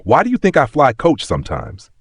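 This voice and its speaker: A man voicing a calm, stern, quietly menacing airline CEO in an audio story, measured and firm. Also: medium pitch, husky, no room sound, clean studio sound, pitched above the performer's own voice, exaggerated voice. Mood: calm, probing.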